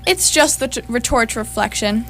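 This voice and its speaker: A woman's voice: on the high side, moderate pace, a bit bright. A woman playing a brash, impatient and flippant space adventurer in charge, curt and casual, in live radio theatre. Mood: dismissive.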